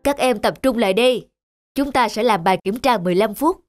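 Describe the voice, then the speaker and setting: A man voicing a calm, kindly schoolteacher in a children's cartoon, speaking in a measured, instructive way. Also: high-pitched, soft background music, lightly acted. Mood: calm, firm.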